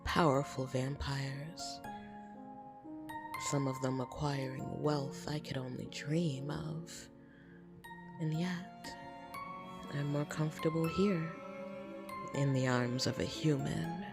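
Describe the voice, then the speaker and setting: A woman voicing a lonely, flirtatious vampire in an ASMR roleplay, soft and breathy, teasing and intimate with hesitant pauses, tender yet easily irritated. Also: deep voice, steady tone. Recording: soft piano music behind.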